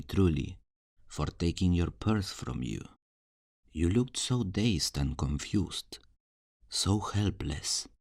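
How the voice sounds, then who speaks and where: Male actor as charming rogue thief, low-pitched, slow delivery, smug, teasing, flirtatious, dry sound, fantasy ASMR roleplay. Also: darkish tone, no echo, full character voice. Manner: teasing, theatrical, smugly self-assured, uses endearments.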